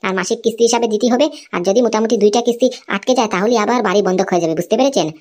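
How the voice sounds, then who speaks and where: A woman's voice: medium pitch, rapid-fire, darkish tone, close to an everyday voice. A woman voicing a humble, conciliatory young cartoon man who explains things in a placating way. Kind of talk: amateur cartoon dialogue.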